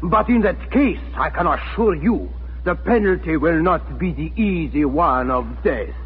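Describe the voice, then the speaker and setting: A man's voice: fairly high pitch, lively intonation, measured pace. A man playing a coldly formal, menacing Nazi official in a 1940s radio drama. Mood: cold menace. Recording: old 1940s radio, narrow, reverberant, noisy.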